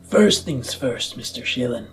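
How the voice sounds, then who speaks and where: A man playing a courteous, earnest politician campaigning for power in a roleplayed audio drama, speaking formally and deliberately. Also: medium pitch, very animated, slight background noise. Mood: grave, probing. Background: music.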